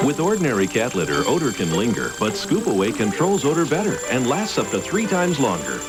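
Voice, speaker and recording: Animated man narrating over loud music. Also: medium pitch, a little fast, rough recording. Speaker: commercial announcer. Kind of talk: scripted ad narration.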